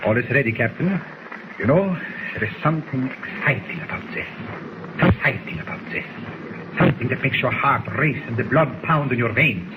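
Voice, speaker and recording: An actor playing a cold, gloating German villain in 1940s radio drama style. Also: male voice, mid-range voice, animated, normal speed, close to an everyday voice. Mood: excited, gloating. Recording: noisy muffled 1940s radio recording.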